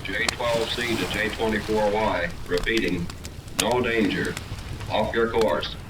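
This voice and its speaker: An actor playing a businesslike radio operator in a 1930s serial, speaking in clipped, procedural phrases. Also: male voice, fairly low pitch, fairly even intonation, measured pace, lightly acted. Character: businesslike male radio operator on a distant set. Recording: echoey, distant, noisy old radio transmission.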